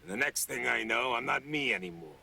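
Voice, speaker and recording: A man voicing a gruff, lecherous demon hunter cursed into a wooden dummy, a world-weary wisecracker in a TV drama. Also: mid-range voice, lively intonation, over a noisy crowd. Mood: wry, cocky. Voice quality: gravelly. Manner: world-weary wisecracking tough guy, drawn-out words. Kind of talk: television drama acting. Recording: TV soundtrack with prominent music. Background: music.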